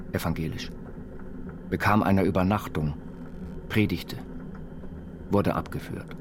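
An actor playing an eccentric self-taught inventor, narrating his life story in measured, quaintly formal phrases for an audio biopic. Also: deep voice, background noise. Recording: studio voice over loud music.

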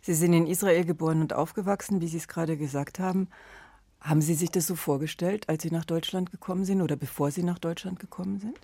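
Calm radio host, low mellow voice. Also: female voice, no room sound. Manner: calm, measured questions. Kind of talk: radio discussion moderation. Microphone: studio microphone. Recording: dry studio sound.

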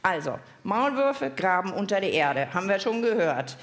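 A woman's voice: medium pitch, sing-song, normal speed, faint music behind. A woman hosting a children's science show live, chatting casually and putting playful questions to the audience. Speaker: host of a children's science podcast. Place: live on stage at a podcast festival.

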